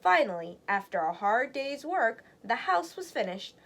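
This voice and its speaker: A woman storyteller narrating a children's read-aloud in an expressive, deliberate way. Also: on the high side.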